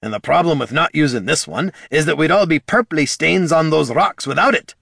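A man voicing a gruff, blustering male dwarf warrior who hollers in folksy speech. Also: mid-range voice, expressive, fairly quick, sharp tone, no echo, studio quality, voice pushed up, exaggerated voice. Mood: urgent.

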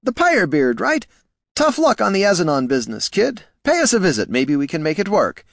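A man voicing a heavy older male elf agent who is pushy and exasperated, talking fast and fussily. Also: high voice, wildly swooping pitch, gravelly, no echo, studio quality, voice pushed up, heavily acted. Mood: scolding. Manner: fast, fussy, scolding.

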